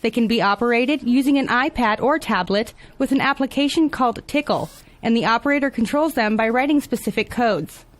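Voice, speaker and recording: A woman news reporter reading scripted local news stories in a measured, even delivery. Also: on the high side.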